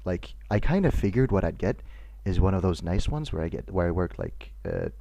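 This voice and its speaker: A man with a deep voice co-hosting a casual podcast chat, dry and laid-back in his banter. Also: wildly swooping pitch, fairly quick, creaky, dark tone. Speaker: podcast co-host.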